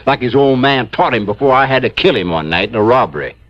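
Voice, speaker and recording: Actor as folksy, animated Southern police chief. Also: male voice, mid-range voice, quick pace, lightly acted. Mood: indignant, bitter. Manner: rustic, insistent, grumbling. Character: folksy, grudge-bearing small-town male police chief. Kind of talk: old-time radio drama acting. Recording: dull, hissy vintage radio recording.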